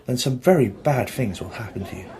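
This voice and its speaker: A man playing a polite but quietly menacing security officer in an amateur radio play, speaking formally. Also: medium pitch, expressive, a little fast, soft background music, lightly acted. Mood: veiled threat. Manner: formal, veiled threatening.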